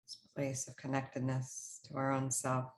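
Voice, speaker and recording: A woman leading a guided meditation, speaking in short, soothing, instructional phrases with unhurried pauses. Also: a bass voice, completely flat delivery, a little hiss or hum.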